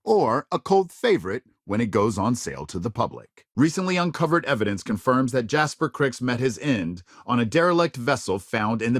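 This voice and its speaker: Polished, animated sci-fi news anchor. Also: male voice, medium pitch, dry recording, studio quality. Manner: crisp, measured, emphatic newsreader phrasing. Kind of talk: scripted broadcast news delivery.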